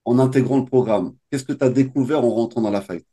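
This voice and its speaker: A man hosting a testimonial interview as a coach, asking his questions in measured, formal phrasing. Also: mid-range voice, dark tone.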